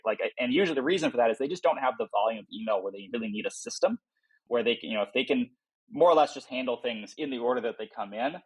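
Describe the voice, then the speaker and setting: Man, mid-range pitch, slightly rough husky tone, conversational interview guest, informal explainer. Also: poor audio. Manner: informal, explanatory, acts out imagined quotes. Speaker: software company founder, podcast guest.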